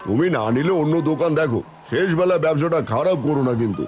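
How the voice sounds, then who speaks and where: A pushy village fishmonger in a cartoon, acted by a man, with a fairly high male voice. He boasts and bargains over his catch, with faint music behind. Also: animated, fast talker, darkish tone, lightly acted. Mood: firm, bargaining. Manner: hawking, bargaining. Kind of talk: Bengali cartoon voice acting.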